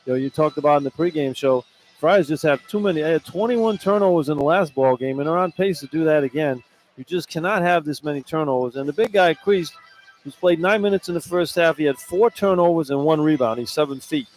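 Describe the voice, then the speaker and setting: A man's voice: on the high side, fairly quick, rough recording. A man doing unscripted halftime radio commentary on basketball in a conversational, blunt and critical manner.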